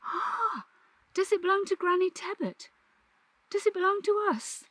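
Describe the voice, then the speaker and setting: A woman's voice: very high-pitched, lively intonation, measured pace, a bit bright, dry recording, pitched above the performer's own voice, full character voice. A woman voicing an eager, curious young girl in a storybook reading. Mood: eager, questioning.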